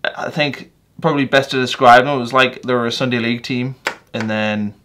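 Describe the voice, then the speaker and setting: A young man with a North East English accent chats his way through a football match reaction. His voice is bright and forward at a middling pitch, and he rambles loosely, correcting himself as he goes. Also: fairly even intonation, a little fast. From England. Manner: chatty, rambling, with fillers and self-corrections. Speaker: football fan vlogger.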